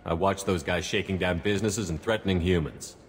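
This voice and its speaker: An actor playing a calm, principled military commander in a video game, speaking plainly and directly. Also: male voice, sits low, brisk, close to an everyday voice. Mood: firm. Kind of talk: video game character dialogue. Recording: noticeable background ambient noise.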